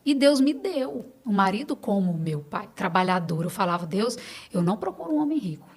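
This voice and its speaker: A woman's voice: mid-range voice, big pitch swings, brisk, breathy edge. A middle-aged Brazilian woman speaking Portuguese as a podcast guest, in casual, earnest personal conversation, repeating phrases for emphasis.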